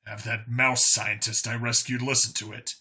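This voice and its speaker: A blunt, self-assured human cop, acted by a male narrator, talks plainly and with a sardonic streak. The voice is raspy and very bright, in a mid male register, for a science fiction audiobook. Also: medium pitch, flat delivery, moderate pace. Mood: thoughtful.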